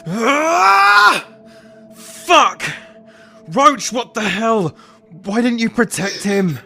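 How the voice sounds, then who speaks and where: A man plays a bitter, hot-tempered zombie-apocalypse survivor in an audio drama. His forceful voice swings widely in pitch, blunt and sarcastic, at a slow, weighted pace. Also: expressive, creaky, soft background music, heavily acted. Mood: furious, grieving.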